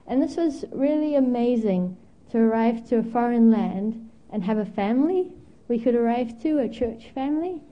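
A woman's voice: on the high side, lively intonation, moderate pace, few pauses, dull audio. A young woman from Zimbabwe preaching a candid, storytelling sermon at a church, with frequent ums and self-deprecating asides. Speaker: guest preacher.

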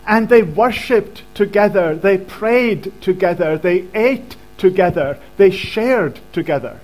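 A man preaching a sermon in short phrases, pausing so an interpreter can translate each one. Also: high voice, expressive, a little mellow, poor audio.

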